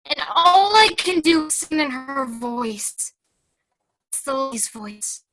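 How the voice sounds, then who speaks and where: A high, bright, childlike voice of a devout, troubled young nun, acted by a young woman. She speaks slowly and haltingly, earnest and fragile, as in a theatre monologue. The sound is dry and close. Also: expressive, no echo, full character voice, low-quality recording. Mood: haunted, earnest. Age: teens. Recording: video call.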